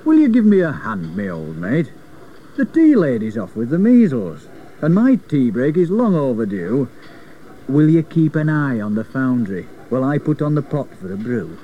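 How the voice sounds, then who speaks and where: Man voicing a matey works engineer, lively pitch swings. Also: normal speed, narrow, tinny audio, slight background noise. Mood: matey, coaxing. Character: older male works engineer, matey, chatty, instructing. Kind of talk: children's rhyming storybook reading.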